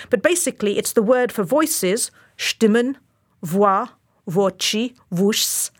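A woman reporter delivering a scripted radio feature with clear, explanatory narration. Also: on the high side.

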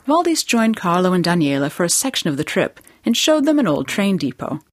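A woman hosting a radio program in a studio, reading scripted narration in a measured presenting manner. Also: on the low side, very animated, no echo. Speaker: program host.

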